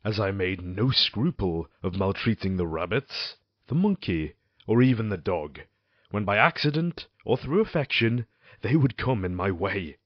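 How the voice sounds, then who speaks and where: A man playing a tormented, cruel, drunken husband in a gothic audio drama, speaking theatrically and haltingly in archaic literary phrases. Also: lowish voice, animated, dry recording. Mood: brooding, confessional. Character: tormented, drunken, cruel husband confessing his descent into perverse violence. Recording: dull lo-fi audio, loud background music.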